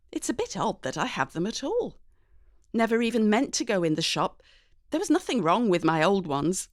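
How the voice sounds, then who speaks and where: A woman's voice: medium pitch, no echo, studio quality. A woman reading a dramatic first-person story expressively and conversationally, in character as a teenage girl. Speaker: audiobook narrator.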